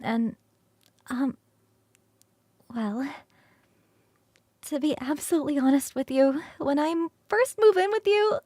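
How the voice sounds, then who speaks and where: High-voiced, flirty succubus roommate, acted by a woman. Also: darkish tone, dry recording. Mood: coaxing, sheepish. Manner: bubbly, rambling, easily sidetracked, teasing. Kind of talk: ASMR roleplay acting.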